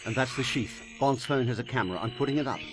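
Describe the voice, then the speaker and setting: A man's voice: medium pitch, fast, close to an everyday voice. A man playing a businesslike intelligence aide reporting to his chief in a spy video game. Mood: tense, businesslike. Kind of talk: dramatic video game voice acting. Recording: loud dramatic music behind the voice.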